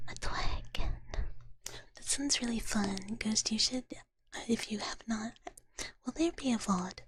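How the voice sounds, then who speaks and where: A woman streaming ASMR in a soft, breathy whisper, chatting casually and playfully with viewers up close to the microphone. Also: mid-range voice. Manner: playful, speaks of self in third person. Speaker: ASMR livestreamer.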